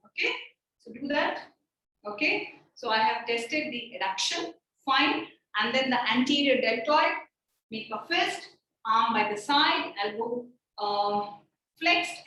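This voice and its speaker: A high-voiced woman teaching a hands-on demonstration, checking in often with "okay" and "right". Her voice sounds far from the mic and echoes heavily around the room. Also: pushed and bright. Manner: explains step by step, checking with frequent "okay" and "right". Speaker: medical teacher. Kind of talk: live clinical demonstration.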